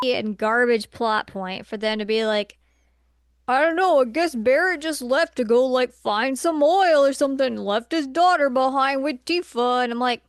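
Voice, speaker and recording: Female podcast co-host, smooth clear voice, dry close sound, blunt and exasperated casual opinions. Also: high-pitched, dry recording. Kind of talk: casual opinionated film discussion.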